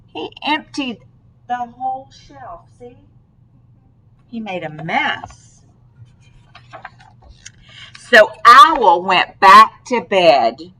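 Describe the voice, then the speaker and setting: A woman reads a children's picture book in a bright, highly animated voice. She takes it very slowly and expressively, drawing a young listener in with questions. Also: rough recording. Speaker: read-aloud storyteller to a young child.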